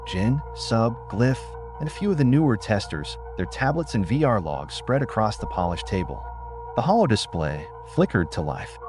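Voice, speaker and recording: A man narrating a scripted first-person story as an audiobook. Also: on the low side. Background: music.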